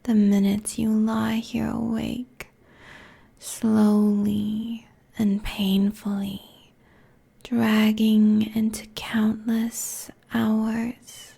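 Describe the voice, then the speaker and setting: A woman performs an ancient succubus queen for an ASMR audio roleplay. She whispers in a dark, breathy voice, drawing each phrase out very slowly. Her manner is seductive, haughty and cruel, with menace held low and even, close to a binaural mic. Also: exaggerated voice. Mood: sultry, knowing. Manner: slow, deliberate, taunting, haughty. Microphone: close binaural microphone.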